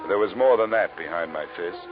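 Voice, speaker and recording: Tough deep american detective from old time radio, dry wisecracks, tinny recording. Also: male voice, lively intonation, brisk. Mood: dry, narrating. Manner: sardonic, clipped, tough-guy delivery. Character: hard-boiled wisecracking male freelance insurance investigator. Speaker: lead actor and first-person narrator. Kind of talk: 1940s-50s radio detective acting and narration. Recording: tinny vintage radio recording, music behind.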